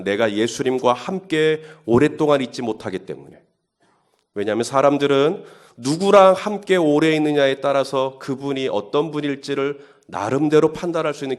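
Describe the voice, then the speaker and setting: A male pastor gives a sermon in a mid-pitched voice. He moves from easy, conversational explanation to rising, emphatic questions. His tone stays personal rather than formal. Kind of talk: sermon at early-morning Holy Week service.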